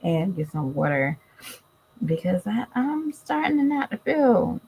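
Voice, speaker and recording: A woman's voice: medium pitch, big pitch swings, measured pace, gravelly, breathy edge, highs cut off. A tired, congested woman chatting casually to her viewers in a vlog. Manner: chatty, addresses viewers directly. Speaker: vlogger. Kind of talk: casual chat to camera.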